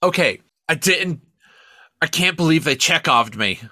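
An excitable man with a high voice that swings widely as he talks. He rambles emphatically through a casual, sweary review chat, sounding bright and close in a dry recording. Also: a little slow, no echo. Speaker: podcast co-host.

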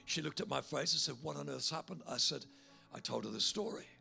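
Male preacher with a big voice and a heavy gravelly edge, bright and forceful tone, music under him. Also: a little high, fairly quick. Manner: animated storyteller, building to loud fervent altar call. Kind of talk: sermon with storytelling.

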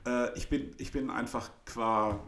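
A man's voice: medium pitch, fairly even intonation, measured pace, vocal fry, a bit bright. A middle-aged man chatting casually and telling a story, hesitant with many fillers and restarts. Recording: roomy echo.

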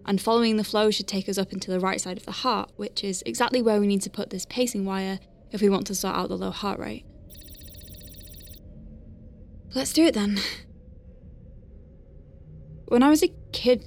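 A woman's voice: medium pitch, lightly acted. A woman playing a dry-witted, capable doctor on a space station, dictating clinical notes with wry conversational asides in an audio drama. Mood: focused, wry, then reflective. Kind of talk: science-fiction audio drama, spoken log.

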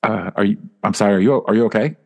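A man's voice: fairly low pitch, quick pace, vocal fry, lo-fi sound. A man playing a polite, confused shopper who stammers and keeps apologizing in an improv scene. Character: baffled polite male shopper.

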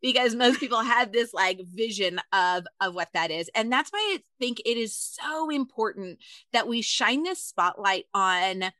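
A woman hosting a podcast, chatty and quick to laugh, telling stories with quoted asides. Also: fairly high pitch, expressive, bright, forceful tone, no room sound. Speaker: podcast host. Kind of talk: casual podcast interview.

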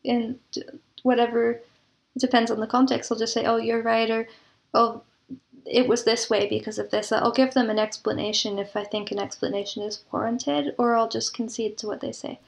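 A woman talks in a conversational interview. Her voice sits a little high and carries noticeable vocal fry. She lays out her thoughts in a reflective, explanatory way, as a podcast guest. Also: little pitch movement, normal speed. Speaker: podcast guest, composer and audio producer.

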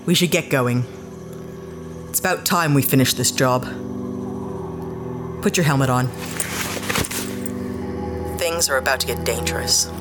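A woman's voice: rumbling low, a little slow, long pauses, over music. A woman playing a blunt, sarcastic space adventurer who trades insults in an audio drama. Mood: brisk. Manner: curt and sarcastic, snaps insults.

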